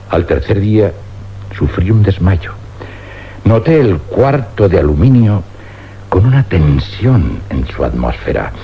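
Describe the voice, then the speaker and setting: Deep, grave, weary older scientist. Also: male voice, heavily acted. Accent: Spanish, from Spain. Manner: grave, deliberate, dramatic pauses. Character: weary older scientist confessing an uncanny experiment. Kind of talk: suspense radio drama acting. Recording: dull, noisy old radio recording with hum.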